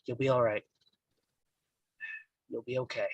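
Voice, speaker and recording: A man acts out a young man standing guard over his father in a live script read. His voice is husky and dry, and he stammers heavily. He stays resolute. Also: medium pitch, little pitch movement, unhurried, no echo, low-quality recording. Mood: tender. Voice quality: heavy stammer.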